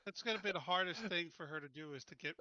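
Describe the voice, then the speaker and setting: A man's voice: high-pitched, quick pace, pushed and bright, dry recording, highs cut off. A man chatting casually and informally as a player in an online tabletop game. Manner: informal, often says "dude".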